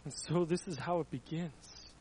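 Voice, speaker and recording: A man's voice: fairly high pitch, moderate pace, darkish tone, slight background noise, low-quality recording. A man preaching a sermon, telling everyday stories as illustrations, with frequent stumbling restarts.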